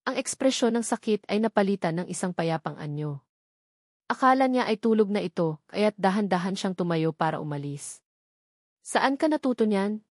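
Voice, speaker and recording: A woman narrates a romantic audio drama with a measured, steady delivery. Her voice is mid-pitched. The recording is dry and close. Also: no room sound. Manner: measured storytelling.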